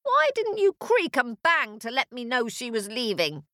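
A menacing old storybook witch, played by a woman, greedy and quick to rage. The delivery is highly animated, wheedling and growling across a wide pitch range. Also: no echo, studio quality. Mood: furious.

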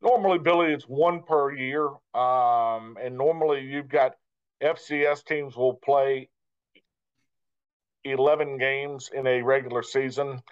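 Dull-sounding lo-fi man, measured interview talk. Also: medium pitch, fairly even intonation, clear, resonant tone. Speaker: guest, radio play-by-play broadcaster. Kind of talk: conversational interview answers.

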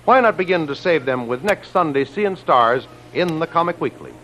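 A man's voice: on the high side, lively intonation, normal speed, sharp tone, low-quality recording. A man announcing and narrating in a formal, declamatory 1930s radio style, reading from a script. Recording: old radio recording with music behind.

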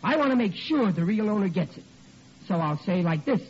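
An actor playing a flustered, blustery, stammering working-class husband in a vintage radio sitcom. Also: male voice, high-pitched, animated, a little fast, breathy edge. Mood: blustering, defensive. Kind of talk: 1940s radio sitcom acting. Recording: muffled, noisy old radio recording.

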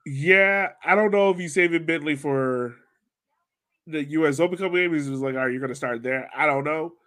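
A man's voice: on the high side, normal speed, a bit bright. An American man chatting casually about sports as a podcast co-host.

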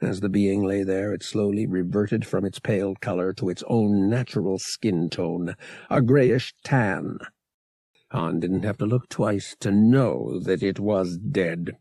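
A man's voice: sits low, a little slow, vocal fry, mellow, slightly rough, no echo, clean studio sound. A man narrating action fiction as a dramatic scripted audiobook read.

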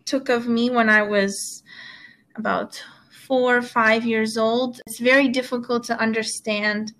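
A woman's voice: a little high, flat delivery, measured pace, a bit bright, poor audio. A woman whose first language is Russian, telling her own story in an interview in an earnest, encouraging way. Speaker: guest, author and teacher. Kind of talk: conversational interview.

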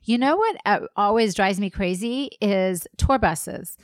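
A woman's voice: medium pitch, expressive, a little slow, dry recording, clean studio sound. A woman co-hosting a podcast in casual chat, talking in an upbeat, chatty way and laughing easily. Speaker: podcast co-host.

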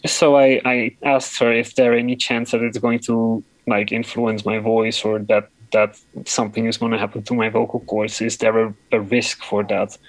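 A man with a deep voice giving a personal account in an interview, often pausing and searching for words. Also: level tone, no echo, rough recording. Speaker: interview guest.

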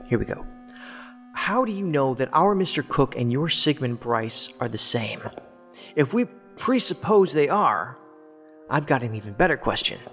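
A man's voice: mid-range voice, fairly bright tone. A self-assured federal investigator in a sci-fi drama. His mid-range voice is wry and teasing. It rings in a reverberant space, with music faintly behind. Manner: playful, sardonic banter. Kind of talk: science fiction audio drama acting.

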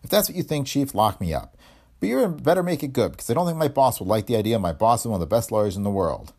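A man's voice: medium pitch, big pitch swings, quick pace, a little mellow, pitched above the performer's own voice. A man voicing a cocky, sardonic, hard-headed investigator in a hardboiled detective story, wry and tough-talking. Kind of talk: hardboiled detective audiobook dialogue.

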